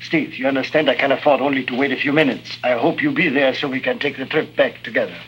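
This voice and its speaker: An actor playing a jovial but fatalistic Eastern European guide, wry and theatrical, in an early 1950s spy drama. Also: male voice, mid-range voice, steady tone, fast talker, a bit bright, a little hiss or hum, lightly acted. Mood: friendly. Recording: dull old 1950s soundtrack with music.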